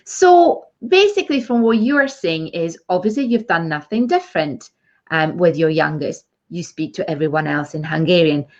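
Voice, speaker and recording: A woman speech therapist, interviewing a mother and explaining slowly with many fillers. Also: fairly low pitch, lively intonation, darkish tone. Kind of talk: interview chat. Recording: dull lo-fi sound.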